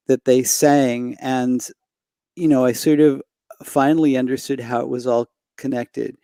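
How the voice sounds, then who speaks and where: A man's voice: mid-range voice, mellow, no echo. A middle-aged man from Los Angeles, a singer guest on a video call using a headset and desk mic. He speaks hesitantly, stopping and restarting his sentences. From Los Angeles, United States. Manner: hesitant, many fillers and restarts. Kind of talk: reflective conversation. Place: home room indoors.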